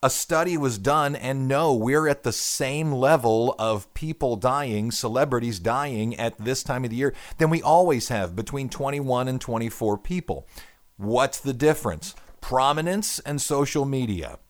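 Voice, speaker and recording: A man's voice: mid-range voice, expressive, unhurried. Chatty male radio host. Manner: chatty, explanatory, lecture-like. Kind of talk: casual talk radio.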